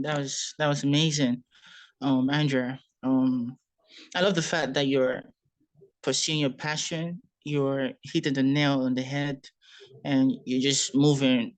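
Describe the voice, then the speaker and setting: A man's voice: medium pitch, flat delivery, measured pace. Encouraging male interview host. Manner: encouraging, praises the guest warmly. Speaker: podcast host. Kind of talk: interview hosting.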